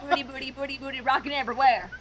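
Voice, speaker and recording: A woman's voice: high voice, fairly even intonation, racing, fairly bright tone, slight background noise. A woman co-reading a story, chatting in playful, casual banter. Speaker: co-reader.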